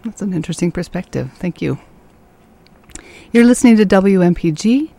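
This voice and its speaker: A woman hosting a radio interview show, asking her guest questions in a measured, polite way. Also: fairly low pitch, animated, gravelly, mellow.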